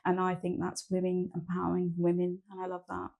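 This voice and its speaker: A woman's voice: on the low side, monotone, fairly quick, gravelly. Woman hosting a studio chat. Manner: warm listener, frequent "mm" backchannels. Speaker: host. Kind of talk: casual interview chat.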